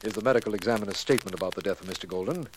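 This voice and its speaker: An actor playing a dry, impatient official who assists a detective in a 1940s radio mystery, clipped in manner. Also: male voice, lowish voice, very fast, close to an everyday voice. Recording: noisy vintage 1940s radio recording.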